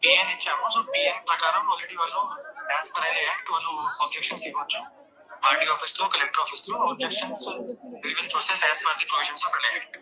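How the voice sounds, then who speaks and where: A man's voice: fairly high pitch, level tone, a little fast. A man, a government official answering over the telephone in a noncommittal, procedural way. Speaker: district collector, government official. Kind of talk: official phone conversation. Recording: phone line with crowd chatter.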